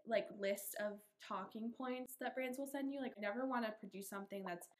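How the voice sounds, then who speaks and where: A woman's voice: a little high, a little fast. A young woman hosting a podcast in a casual chat, talking in a self-deprecating way and often laughing through her sentences. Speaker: podcast host.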